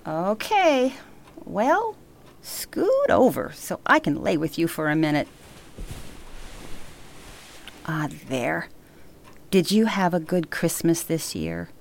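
A woman playing a loving, bustling grandmother in a sentimental radio play, expressive, chatty and affectionately teasing. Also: fairly low pitch, slow, heavily acted. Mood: tender.

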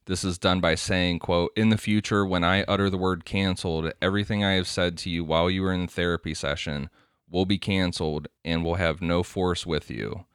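A man's voice: low voice, fairly even intonation, a little fast, clean tone, no echo, clean studio sound. A man co-hosting a casual podcast, explaining a topic from notes and laughing often. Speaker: podcast co-host. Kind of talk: casual podcast chat, reading quotes aloud.

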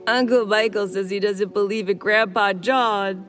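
A man's voice: sits high, fairly even intonation, unhurried, over music, pitched above the performer's own voice. A man voicing a pleading, sulky little girl with a whiny, childlike way of talking in a told story. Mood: upset, pleading.

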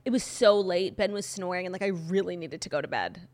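A woman co-hosting a podcast in casual chat, her voice a little hoarse, talking in a gushing rush full of "like". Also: on the low side, darkish tone. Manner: gushing, fast, heavy use of "like". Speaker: podcast co-host.

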